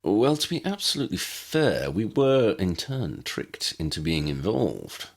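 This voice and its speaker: Man playing a pompous, courteous old gentleman. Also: lowish voice, huge pitch swings, unhurried, vocal fry, full character voice. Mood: pedantic. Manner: formal, ornate, pompous diction.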